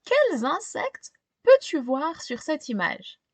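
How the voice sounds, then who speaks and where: A woman reading a children's picture book aloud expressively, pausing to put simple questions to young listeners. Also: sits high, wildly swooping pitch, no room sound. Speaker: storytime reader.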